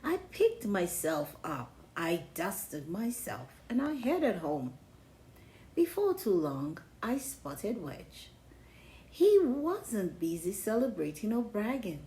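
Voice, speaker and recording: Woman acting boastful cheese character, children's storybook, theatrical, very animated, unhurried. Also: medium pitch, slight background noise, full character voice, poor audio. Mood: calm, humbled. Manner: theatrical, exaggerated, wailing to hushed. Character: boastful, dramatic wheel of cheddar learning humility. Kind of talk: first-person children's storybook read-aloud.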